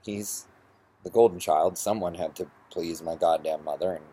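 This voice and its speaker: A man with a husky voice ranting through a personal story in an exasperated, sarcastic, profane way. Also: low-pitched, steady tone, moderate pace, dark tone. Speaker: vlogger.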